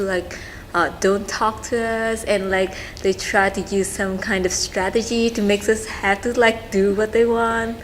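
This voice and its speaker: A young woman from Vietnam with a Vietnamese accent, talking casually and haltingly as she searches for words. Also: medium pitch. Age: young adult. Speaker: student interviewee. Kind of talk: casual, informal talk about family. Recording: clearly noisy room recording.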